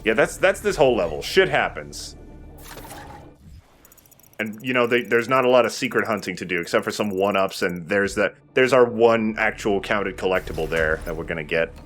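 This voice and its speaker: A man's voice: fairly low pitch, animated, moderate pace, fairly bright tone, faint music behind. A man giving casual let's play commentary as the player, informal, sweary and self-deprecating.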